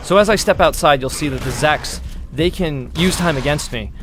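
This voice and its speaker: A man giving gameplay walkthrough commentary as a producer presenting a demo, in an explanatory, measured manner. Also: on the high side, over music. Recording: clear game sound noise behind.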